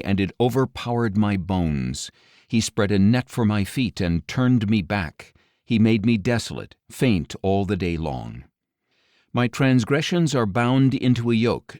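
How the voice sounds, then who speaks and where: Man, fairly deep voice, solemn scripture narration, measured phrasing, deliberate pauses, very dry recording. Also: sing-song, darkish tone, dry recording. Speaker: audiobook narrator.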